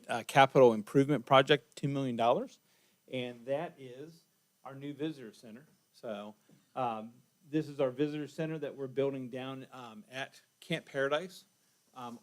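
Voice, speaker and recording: A man's voice: medium pitch, fairly even intonation, normal speed, no room sound. A man who manages a state park gives an informal update to a county board, with many ums and uhs. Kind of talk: public presentation at a county board meeting. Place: county board meeting room.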